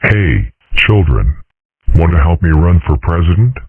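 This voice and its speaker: A man plays a sage, genial cartoon father. The voice is extremely low and husky, with a measured, gently dry lecturing manner. It comes through narrow, tinny audio. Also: sing-song, exaggerated voice. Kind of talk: comic strip dub. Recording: muffled, phone-like narrow audio.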